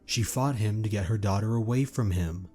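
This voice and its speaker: A man playing a gentle, good-natured young male dragon in an audio drama, earnest and placating. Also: lowish voice, normal speed, faint music behind, close to an everyday voice.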